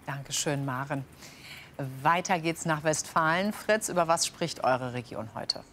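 A woman's voice: deep voice, measured pace, a bit bright, a little hiss or hum. Upbeat female anchor for regional TV news, chatty link-ups.

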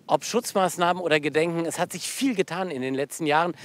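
A man reports calmly and reflectively. His voice is fairly high for a man. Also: fast talker. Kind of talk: news report.

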